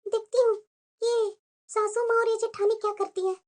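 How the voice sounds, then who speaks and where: Scheming new bride, high bright voice, sly mutters and petulant replies, woman acting. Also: fairly even intonation, fast talker. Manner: muttered asides, petulant when speaking aloud. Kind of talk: homemade family skit acting.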